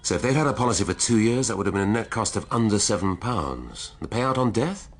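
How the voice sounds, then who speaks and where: An actor playing a wry, brooding middle-aged English police detective in a radio drama, dry and thoughtful. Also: male voice, on the low side, lively intonation, quick pace. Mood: eager, teasing. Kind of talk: naturalistic radio drama acting.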